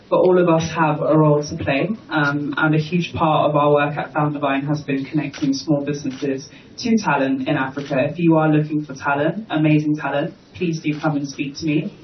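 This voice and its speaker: Flat-voiced man on a conference panel. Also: fairly high pitch. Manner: brief, inviting, repetitive appeals. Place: conference hall. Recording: echoey, muffled, distant mic with faint noise.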